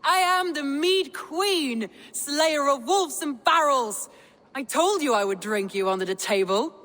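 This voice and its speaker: An actress playing a spirited Viking clan wife with an earnest, formal manner in a video game cutscene. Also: female voice, high-pitched, expressive, unhurried, a bit bright. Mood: boisterous.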